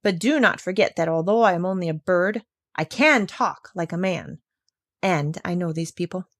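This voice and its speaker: A woman's voice: lowish voice, normal speed, fairly bright tone, no room sound, studio quality. A woman voicing a clever, proud female parrot from a storybook, self-assured and bossy. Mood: confident. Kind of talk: storybook read-aloud character voice.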